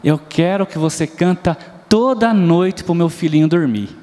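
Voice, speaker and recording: A man's voice: on the high side, unhurried, mellow. A man with a Brazilian Portuguese accent preaching a sermon in a church, telling stories, asking the congregation questions and singing bits of nursery rhymes. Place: church hall. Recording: long hall reverb.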